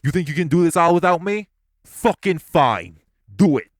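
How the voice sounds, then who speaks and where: A man's voice: a little high, very animated, dry recording, clean studio sound. A man playing a hotheaded New gangster. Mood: angry. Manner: foul-mouthed, defiant, quick to anger. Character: volatile male New York gangster boss. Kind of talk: audio roleplay acting.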